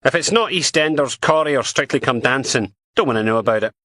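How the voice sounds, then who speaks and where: A man's voice: medium pitch, expressive, brisk, sharp tone, dry recording, clean studio sound, voice pushed up. A man voicing a blunt, foul-mouthed female police dog handler who talks crudely and scornfully. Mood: blunt, scoffing.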